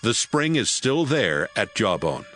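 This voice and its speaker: A man narrating scripture in a steady, even storytelling manner. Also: fairly low pitch, measured pace, faint music behind.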